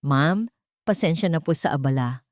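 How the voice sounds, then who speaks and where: A woman voicing a shy, polite young male driver in a romance story, speaking gently and respectfully. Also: low voice, expressive, normal speed, no room sound, studio quality. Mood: gentle concern.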